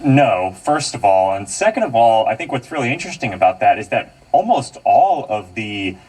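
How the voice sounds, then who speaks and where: A man's voice: lowish voice, sing-song, moderate pace, darkish tone, breathy edge. An American man working as a reporter, explaining the news live in a conversational style. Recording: distant car radio picked up by camera.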